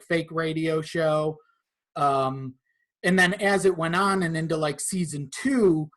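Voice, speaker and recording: A man speaking as a guest in a casual podcast interview, explaining things in a halting way. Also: fairly high pitch, fairly bright tone. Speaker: podcast guest, content creator.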